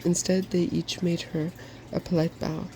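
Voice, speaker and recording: A soft-spoken narrator gently reading a fairy tale in an ASMR style. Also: medium pitch, little pitch movement, normal speed, slight background noise, rough recording.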